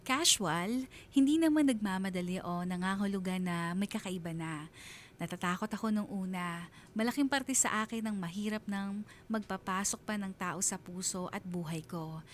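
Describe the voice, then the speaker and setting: An actress with a Filipino accent voicing a reflective single mother who narrates her love story in a radio drama, measured and wistful. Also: medium pitch, slight background noise, natural voice.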